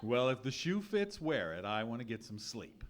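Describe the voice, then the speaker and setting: A man's voice: mid-range voice, big pitch swings, normal speed, a crowd in the background, lightly acted. A man playing a grouchy, sleepy, sarcastic henpecked husband in an old-time radio comedy, grumbling exasperated, dry retorts. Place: live theatre stage performance.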